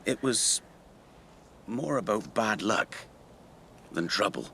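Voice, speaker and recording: A man's voice: lowish voice, lively intonation, takes it slowly, vocal fry, sharp tone, a little hiss or hum, heavily acted. An actor playing a wistful middle-aged British working man, a folksy rambling storyteller in a naturalistic radio drama. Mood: grave. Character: wistful middle-aged local factory worker, old family friend.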